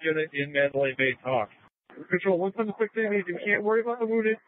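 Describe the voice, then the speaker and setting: A man's voice: high voice, steady tone, fast talker. A male police officer giving emergency dispatches over a police radio scanner. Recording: tinny, narrow-band police scanner radio.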